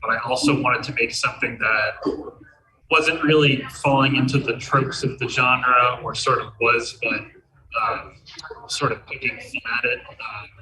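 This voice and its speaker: A man's voice: mid-range voice, steady tone, a little slow, sharp tone, recorded from a distance, poor audio. A man giving casual, hesitant interview answers as a game programmer and writer. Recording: picked up from off the mic.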